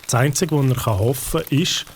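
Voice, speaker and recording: A man with a Swiss German accent narrating a children's story calmly. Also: mid-range voice, fairly quick, a little hiss or hum.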